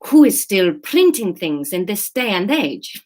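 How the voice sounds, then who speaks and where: A woman's voice: mid-range voice, sing-song, measured pace, a little mellow. Woman putting on a theatrical mock-Spanish student lad. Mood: incredulous. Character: male student of about eighteen, cheeky, incredulous.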